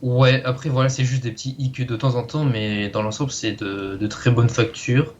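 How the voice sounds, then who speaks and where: A man's voice: medium pitch, steady tone, moderate pace, slight background noise. Man reviewing casually, slightly dull audio. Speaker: co-host of a video review. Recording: dull, lo-fi microphone sound.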